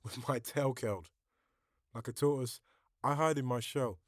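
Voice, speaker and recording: A man giving a dramatic poetry reading, deliberate, with pauses between short phrases. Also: mid-range voice, no echo, studio quality. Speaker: poetry reader.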